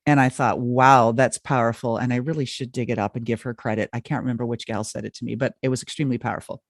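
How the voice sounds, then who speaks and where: A woman's voice: a bass voice, fairly even intonation, fast, no room sound. Enthusiastic woman host, dry sound. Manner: enthusiastic, affirming interviewer. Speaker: podcast host. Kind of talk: interview hosting.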